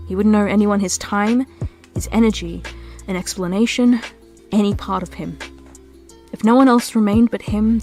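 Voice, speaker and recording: A woman's voice: medium pitch, dark tone. A woman reading scripted storytelling narration for an audio roleplay.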